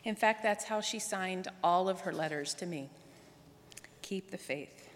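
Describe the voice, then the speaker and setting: A woman's voice: on the low side, measured pace, slight background noise. A woman delivering a prepared tribute speech to a congregation. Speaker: guest speaker at church. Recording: echoey hall with long reverb.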